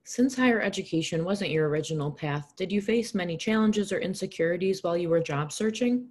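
A woman's voice: fairly low pitch, brisk, darkish tone. A woman hosting an interview show, reading encouraging, scripted questions to her guest. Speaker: podcast host interviewer.

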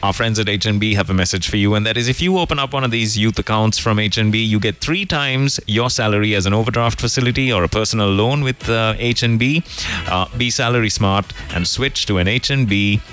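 A man's voice: fairly low pitch, brisk, fairly bright tone. A man hosting a morning radio show, chatting casually and reading sponsor messages with a joking, rambling manner. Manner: jokey, rambling, self-correcting. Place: radio studio.

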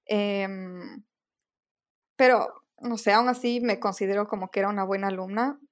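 A woman's voice: medium pitch, fairly even intonation, normal speed. Giggly young woman co-host. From Ecuador. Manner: giggly, chatty, frequent fillers. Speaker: podcast co-host. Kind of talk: casual chat.

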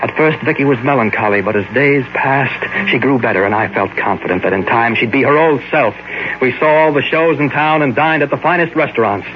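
A man's voice: mid-range voice, brisk, a little hiss or hum, natural voice. An actor playing an obsessive, guilt-ridden murderer who tells his story in a dramatic 1940s radio thriller style. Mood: grim, confessional. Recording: muffled old radio recording, loud music.

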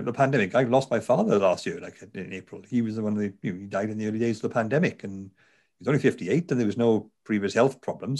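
Welsh male author, lower register, conversational interviewee, lots of restarts. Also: a little mellow. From South Wales. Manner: chatty, frequent fillers and restarts. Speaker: author, neuroscientist.

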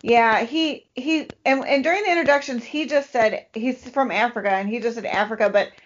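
A woman's voice: fairly high pitch, moderate pace. Dull-sounding recording, chatty woman co-hosting. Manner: chatty, uses "like" constantly. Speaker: podcast co-host. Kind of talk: casual conversational storytelling. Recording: dull lo-fi podcast audio.

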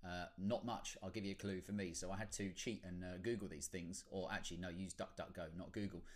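A man's voice: deep voice, fairly even intonation, brisk. A man introducing a podcast in a casual, loosely scripted way, with a tongue-in-cheek, self-deprecating manner. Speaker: podcast host.

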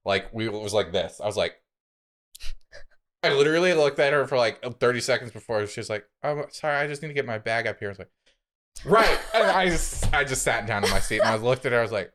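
A man's voice: medium pitch, quick pace. A young man co-hosting a podcast, chatting and telling stories in an informal, emphatic way full of "like". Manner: animated, informal, emphatic, peppered with "like". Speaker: podcast co-host. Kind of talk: casual chat and storytelling.

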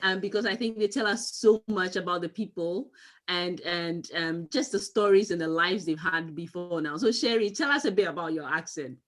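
A woman hosting a monthly interview series on a video call, effusive in her introductions and speaking slowly. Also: lowish voice. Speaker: host and company CEO. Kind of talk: hosted interview.

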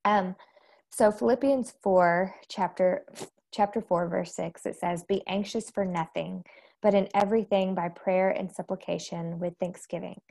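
An American woman hosting an informal devotional talk, candid and conversational, with a slightly rough, congested voice from allergies. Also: lowish voice, steady tone, measured pace, darkish tone. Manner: candid, conversational, self-deprecating. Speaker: host of a faith-based live devotional and podcast.

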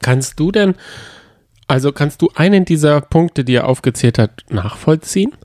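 A man's voice: on the high side, expressive, normal speed, darkish tone, dry recording, studio quality. A man co-hosting a reality TV recap show in casual, bantering conversation.

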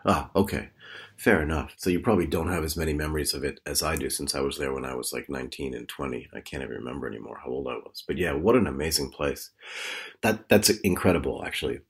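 A middle-aged man hosting a podcast interview, chatty and quick with follow-up questions. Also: extremely low pitch, slightly rough. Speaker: podcast host. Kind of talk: conversational interview.

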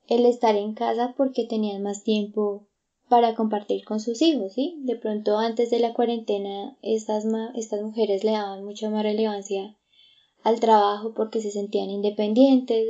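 A woman's voice: fairly high pitch, mellow, dull audio. A woman, chatting reflectively with many fillers and restarts. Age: 20s. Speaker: guest. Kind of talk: casual conversation.

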